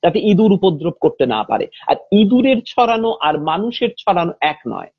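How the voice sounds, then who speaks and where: Woman, husky voice, conversational ghost-story telling, unhurried and detailed, dull lo-fi audio. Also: on the low side, very animated, dry recording. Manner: unhurried, detailed, acting out quoted speech. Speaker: host of a supernatural story chat. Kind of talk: conversational retelling of real-life ghost stories.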